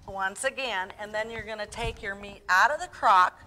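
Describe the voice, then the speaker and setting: A woman's voice: mid-range voice, moderate pace, pushed and bright, lightly acted. A woman playing a chatty, folksy cook giving a comic cooking demonstration full of rambling asides, with faint noise behind. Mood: folksy, instructive. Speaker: guest cook on a show.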